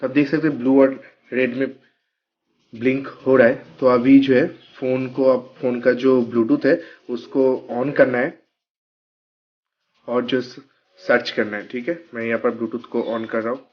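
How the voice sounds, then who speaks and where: A man's voice: medium pitch, flat delivery, normal speed, dull audio. A man giving a casual, step-by-step hands-on product tutorial, often checking that the listener follows. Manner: explains step by step with frequent check-in words. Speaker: product reviewer vlogger.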